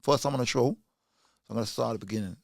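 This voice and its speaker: A man's voice: medium pitch, racing, creaky, a little mellow, no echo, studio quality. A man hosting a podcast, interviewing his guest in a laid-back, encouraging way. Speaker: podcast host.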